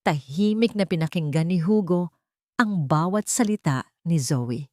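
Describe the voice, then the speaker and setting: Low-voiced woman narrating a dramatic story. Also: animated, measured pace, dark tone, no room sound, studio quality. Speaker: narrator of romantic stories.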